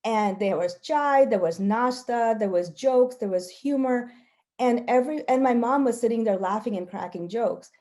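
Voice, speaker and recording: Mellow-voiced woman researcher giving a talk. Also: a little high, normal speed. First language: Gujarati. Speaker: health researcher presenting. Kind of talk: prepared talk with personal storytelling.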